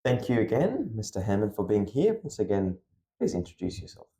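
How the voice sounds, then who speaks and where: A man's voice: lowish voice, wildly swooping pitch, brisk, creaky, warm tone. A man hosting a school podcast, reading a formal introduction and then asking interview questions. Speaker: podcast host. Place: school room. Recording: echoey room.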